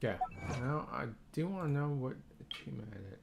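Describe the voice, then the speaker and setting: A man's voice: medium pitch, lively intonation, a little fast. A man giving casual gameplay commentary as a streamer, thinking aloud in short remarks. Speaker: game streamer. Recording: clearly noisy, clicking sounds.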